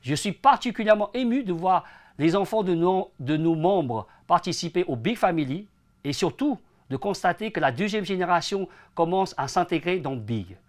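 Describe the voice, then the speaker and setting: A man's voice: high-pitched, expressive, normal speed. A man in his forties, born in China and raised in France, giving earnest, prepared answers as an interview guest. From born in China, grew up in France from age ten. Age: 40s. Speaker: interview guest, entrepreneur and association president.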